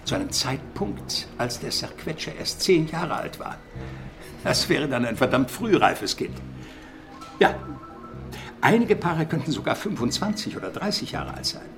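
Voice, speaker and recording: A grumbling, wine-loving police deputy in a radio crime drama. His voice is gravelly and lively in pitch, dry and precise in manner, with faint music beneath. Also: measured pace, exaggerated voice.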